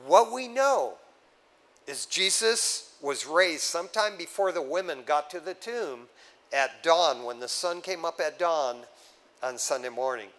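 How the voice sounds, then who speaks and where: A man's voice: on the high side, measured pace, rough recording. A man preaching a sermon, explaining scripture step by step and checking in with his listeners with casual asides. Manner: animated teaching with asides to the congregation. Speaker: pastor.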